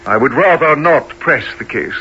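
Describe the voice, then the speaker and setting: An actor playing a kindly, troubled old gentleman in a radio play, hesitant, well-spoken and musing. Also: on the high side, expressive, moderate pace, lo-fi sound, a little hiss or hum. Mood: troubled, wondering.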